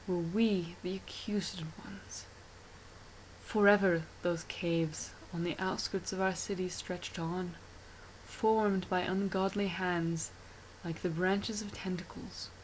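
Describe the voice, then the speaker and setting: A woman playing a haunted, horrified witness who tells a gothic horror tale in a grave, breathy manner. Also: lowish voice, a little hiss or hum, rough recording. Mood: hushed dread. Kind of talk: hushed gothic horror monologue.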